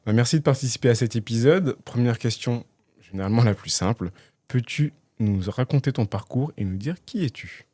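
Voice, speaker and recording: A man hosting a podcast, reading a scripted intro and asking measured interview questions. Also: medium pitch, dark tone, no room sound. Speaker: podcast host.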